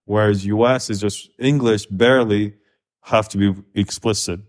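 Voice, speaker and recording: A man's voice: lowish voice, steady tone, moderate pace. A man from Korea co-hosting a podcast, talking frankly and loosely with restarts. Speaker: podcast co-host. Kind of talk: casual conversational podcast.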